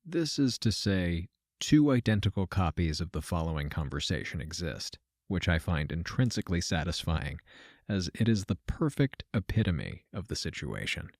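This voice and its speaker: A man's voice: low-pitched, normal speed, no room sound, heavily acted. An actor playing a wry, matter-of-fact man who narrates an audio drama in dry, formally worded first person. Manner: dry, deadpan, wordy formal phrasing. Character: wry, matter-of-fact man recounting his trip between simulations. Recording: music under the voice.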